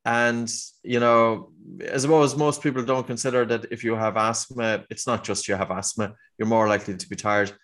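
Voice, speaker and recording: A man's voice: medium pitch, fairly even intonation, moderate pace, few pauses, fairly bright tone. Irish man explaining in an interview. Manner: earnest, explanatory, with restarts. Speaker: guest, breathing teacher. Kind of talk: conversational interview answer.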